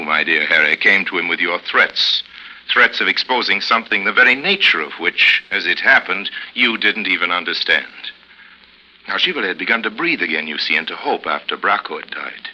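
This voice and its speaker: Radio drama columnist: deep, incisive, suave, gravely deliberate, old broadcast sound. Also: male voice, bright, forceful tone, exaggerated voice, rough recording. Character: suave newspaper columnist grimly recounting a politician's ruin. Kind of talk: 1950s radio drama monologue. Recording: old 1950s radio recording.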